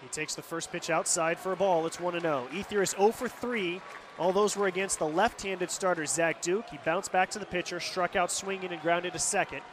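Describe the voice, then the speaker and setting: High-pitched American baseball play-by-play man. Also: brisk. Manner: clipped, descriptive, reciting stats. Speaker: radio baseball play-by-play announcer. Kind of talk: live baseball play-by-play commentary. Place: stadium broadcast booth. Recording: loud stadium crowd noise. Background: ballpark crowd and stadium ambience.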